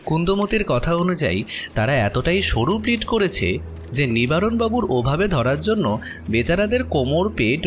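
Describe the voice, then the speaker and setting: A man narrates a horror tale in an expressive storytelling style. His voice is fairly high and moves animatedly in pitch, with faint music behind it.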